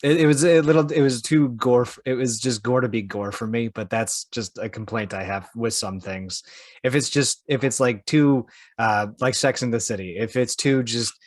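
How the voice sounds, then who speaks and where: Male co-host, average pitch, casual podcast banter, close dry recording. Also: fairly even intonation, moderate pace, no echo. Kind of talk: casual chat.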